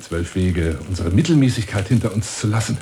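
An actor playing a pompous, lonely hunter seducing a woman in a radio drama monologue, grandiloquent and insinuating, moving between hushed and declaimed phrases. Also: on the low side, expressive, brisk, a little hiss or hum. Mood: pompous, lecturing.

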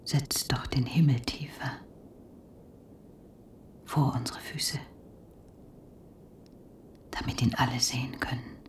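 An actress playing an eerie figure who recites bleak, bitter poetry in a breathy whisper in a radio play. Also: female voice, very deep voice, fairly even intonation, takes it slowly, pauses often, darkish tone, recorded from a distance. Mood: bleak, brooding. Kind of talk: slow poetic radio drama recitation.

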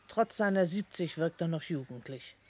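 A woman's voice: deep voice, expressive, normal speed, slight background noise. An actress playing a sensible, guarded middle-aged mother in a radio play, speaking in a composed, formal and reserved manner. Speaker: actor. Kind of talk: German radio play acting.

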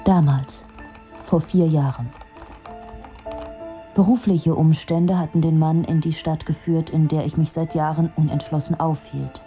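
A woman's voice: low-pitched, highs cut off. An actress playing a weary, detached, lonely woman who narrates her own story in a dry, introspective way for a radio drama. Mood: reflective. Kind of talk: literary first-person radio drama narration.

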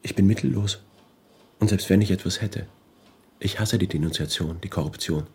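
A man's voice: low voice, normal speed, a little mellow, slightly rough, full character voice. An actor playing a penniless, principled detainee who narrates his ordeal in a German radio drama. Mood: guarded, defiant.

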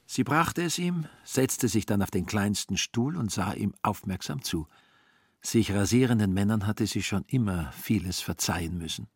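A male narrator of a radio drama with a lowish, rough-edged voice. He reads literary prose in a close, dry studio sound. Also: animated, moderate pace, no room sound.

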